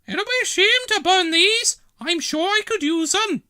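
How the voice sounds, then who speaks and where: A man voicing a grumbling, easily flustered village woman in a shrill falsetto for a children's storybook. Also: animated, a little slow, sharp tone, no room sound, studio quality, full character voice. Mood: sly interest.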